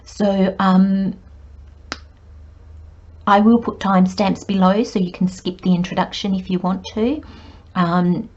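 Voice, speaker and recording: Slow-talking croaky-voiced woman. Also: mid-range voice, steady tone, a little mellow, highs cut off. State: croaky, hoarse voice. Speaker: tarot reader. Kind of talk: spiritual tarot reading monologue.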